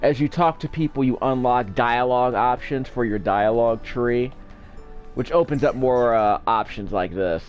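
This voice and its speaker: A man's voice: mid-range voice, normal speed. A man casually commentating on a video game as its host, rambling off into asides. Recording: game music behind. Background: video game music.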